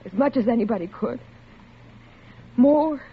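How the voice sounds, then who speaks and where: A tender, anxious wife in a golden-age radio drama. Her voice is fairly high and very breathy and husky, pleading and devoted. The old recording sounds muffled. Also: a little slow, heavily acted. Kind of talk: 1940s-50s radio drama acting.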